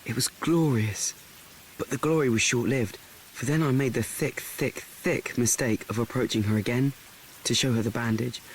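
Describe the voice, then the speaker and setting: A man's voice: mid-range voice, moderate pace, lightly acted. A man as an awkward, self-mocking schoolboy, noisy background. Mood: rueful confiding. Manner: rambling, repetitive, self-correcting. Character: awkward, anxious schoolboy narrating his woes, self-mocking. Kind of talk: confessional radio play monologue.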